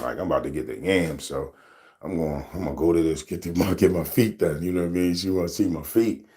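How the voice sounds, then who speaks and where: A man in his thirties with a deep voice, telling loose, rambling stories to his camera as a casual vlog and laughing often. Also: a little mellow, husky. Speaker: vlogger.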